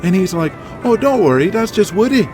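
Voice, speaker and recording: A man's voice: high voice, very animated, over music. A man playing a foul-mouthed Irish wrestler. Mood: rowdy storytelling. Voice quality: foul-mouthed, fast and rowdy. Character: crude brawling Irish male wrestler, boastful. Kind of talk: audio drama acting.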